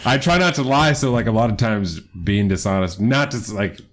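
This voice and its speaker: A man's voice: lowish voice, lively intonation, normal speed. A man in his twenties co-hosting a podcast, telling stories casually, laughing often and swearing freely. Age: 20s. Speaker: podcast co-host. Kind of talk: casual chat.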